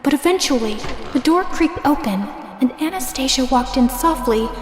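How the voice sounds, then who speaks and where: A woman's voice: high voice, animated, normal speed. A woman playing a passionate, wronged young stepsister, furious and heartbroken, telling her story dramatically. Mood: tense, sorrowful. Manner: intense, emotional delivery. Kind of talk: dramatic first-person story narration. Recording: reverberant voice over prominent music.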